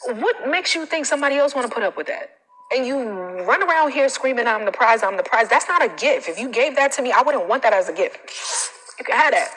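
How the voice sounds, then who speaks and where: American lady doing casual life coaching on a live, blunt n slangy, sharp bright voice, lots of reverb. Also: sits high, quick pace. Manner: blunt, animated, colloquial straight talk. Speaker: self-confidence coach. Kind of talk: casual livestream coaching talk. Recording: reverberant, echoing room sound.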